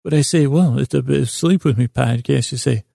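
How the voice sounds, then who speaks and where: Male bedtime podcast host, strong vocal fry, dry close recording; halting, wandering delivery full of self-interruptions and repeated words. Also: mid-range voice, dark tone, no room sound. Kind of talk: rambling bedtime monologue to lull listeners to sleep.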